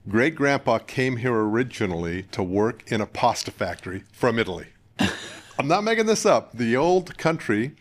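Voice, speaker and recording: An older American man from northern Utah, a guest candidate giving plain-spoken, conversational interview answers in measured phrases. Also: mid-range voice, big pitch swings. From northern Utah, United States. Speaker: political candidate, podcast guest.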